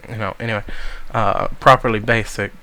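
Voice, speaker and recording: A man with a cold giving a casual, rambling tour of a game world, speaking softly to spare his voice. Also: lowish voice, steady tone, fairly quick, rough recording. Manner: rambling, many ums, soft-spoken to spare his voice. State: has a cold. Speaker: gamer giving a server tour.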